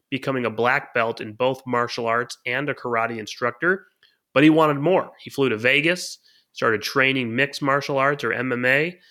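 A middle-aged man co-hosting a podcast, explaining his views at length in a measured way. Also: mid-range voice, sharp tone. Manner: measured, long-winded explaining. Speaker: podcast co-host. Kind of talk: podcast talk and interviewing.

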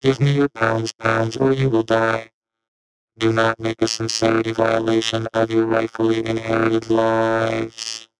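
Robotic zombie mannequin. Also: male voice, fairly low pitch, a little slow, heavy background noise. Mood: menacing. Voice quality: flat, mechanical monotone. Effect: robot filter.

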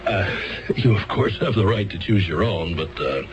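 Low-voiced, flustered, stammering lawyer. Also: male voice, lively intonation, normal speed, noisy background. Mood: polite, awkward. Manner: hesitant, stammering, throat-clearing, fussy. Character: flustered, earnest male court-appointed defense lawyer. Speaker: defense lawyer. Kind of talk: 1970s radio drama acting. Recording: muffled old radio, music behind.

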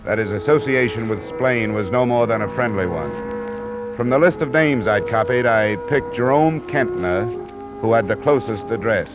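An actor with an American accent playing a hard-boiled, wry private investigator in clipped, deadpan old radio style. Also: male voice, mid-range voice, normal speed, music in the background, natural voice. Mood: dry narration. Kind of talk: 1940s-50s radio detective acting and narration. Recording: muffled, noisy old radio recording.

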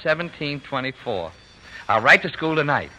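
Gentle dreamer papa, folksy and hesitant, lively bright delivery, old noisy radio drama. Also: male voice, fairly high pitch, normal speed, full character voice. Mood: earnest, tender. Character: gentle, indulgent working-class papa, quietly scheming dreamer. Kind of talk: 1940s radio drama acting. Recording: noisy vintage 1940s radio recording.

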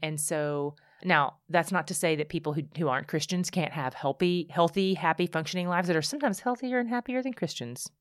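A woman speaking candidly as a podcast guest, telling her story in animated bursts with frequent restarts. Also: lowish voice, a little fast, hardly any pauses, no echo, clean studio sound. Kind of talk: casual conversation.

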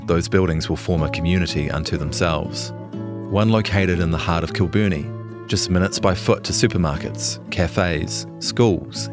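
Guy with a really low voice narrating a long news article, guitar music behind him. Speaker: journalist narrator. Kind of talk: scripted longform article narration.

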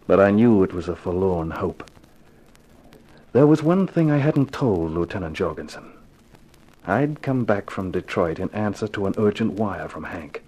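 An actor playing a tough, guarded private eye in a radio crime drama, terse, poker-faced and sardonic. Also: male voice, sits low, darkish tone, breathy edge, full character voice. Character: tough, guarded hard-boiled private eye, narrating his case. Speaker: actor playing detective and narrator. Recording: noisy old 1950s radio recording.

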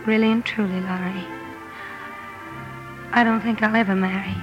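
A woman's voice: medium pitch, fairly even intonation, moderate pace, dull audio, music in the background, natural voice. An actress playing a headstrong, earnest young woman writer in a 1950s radio drama, with emphatic delivery. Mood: pained, earnest.

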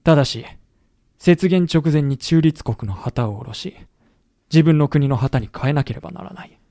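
An actor playing a samurai-era veteran who narrates a historical audio drama in a chatty, wry storytelling manner. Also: male voice, a little high, animated, normal speed, warm tone, no echo, studio quality. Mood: explanatory. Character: colloquial samurai-era veteran recounting his comrades' lost war. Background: background music.

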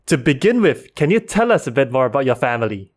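A man's voice: mid-range voice, very animated, quick pace. A man hosting a podcast interview, friendly and encouraging, reading an intro and then asking questions. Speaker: podcast host.

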